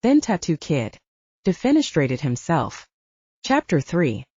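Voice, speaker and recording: A woman narrates fiction in a lower-pitched voice with plenty of melodic movement. Her tone is mellow rather than bright, and the dry, slightly dull recording keeps the scripted first-person storytelling close. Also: huge pitch swings, a little slow, breathy edge, dry recording. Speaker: audiobook narrator.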